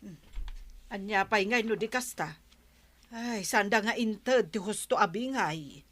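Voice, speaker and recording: A woman's voice: mid-range voice, a little slow, a bit bright. A woman playing a plain-spoken, grumbling and resigned village wife in a radio comedy, with a Filipino accent. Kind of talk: Ilocano radio comedy drama acting.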